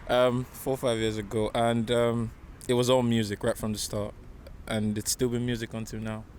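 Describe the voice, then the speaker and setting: A man's voice: medium pitch, flat delivery, normal speed, a little mellow. A man chatting casually as a music artist in an interview, speaking in short fragments. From Nigeria. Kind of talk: casual chat. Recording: outdoor traffic noise.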